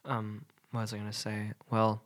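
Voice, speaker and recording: A man's voice: lowish voice, monotone, moderate pace. Young man, nasal from a cold. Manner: rambling, many fillers like "like" and "um". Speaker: podcast co-host. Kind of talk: casual podcast chat.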